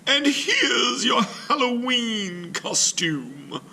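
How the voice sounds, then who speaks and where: A man voicing a kindly, clever older woman neighbor in a high, slow, sing-song voice. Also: big pitch swings, pushed and bright, husky, full character voice. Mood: scheming, kindly.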